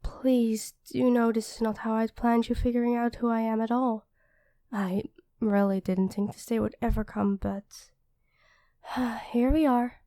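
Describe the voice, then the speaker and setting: A woman's voice: fairly high pitch, level tone, measured pace, mellow, no room sound, clean studio sound. A woman playing a feisty, protective demon queen who is a devoted girlfriend, commanding yet affectionate, in an ASMR roleplay. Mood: tender, earnest.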